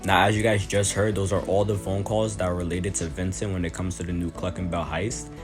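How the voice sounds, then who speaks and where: A man's voice: sits low, flat delivery, brisk. A man narrating a gaming video in an explanatory commentary style. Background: music.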